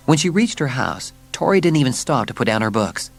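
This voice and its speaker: A man's voice: medium pitch, big pitch swings, brisk, creaky, soft background music. A man narrating a dramatised children's story with scripted, dramatic storytelling.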